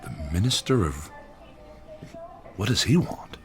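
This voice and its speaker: Deep, animated male doctor. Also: takes it slowly, faint music behind, full character voice. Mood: formal, deferential. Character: cautious, authoritative male hospital doctor, formal and measured. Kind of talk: radio drama acting.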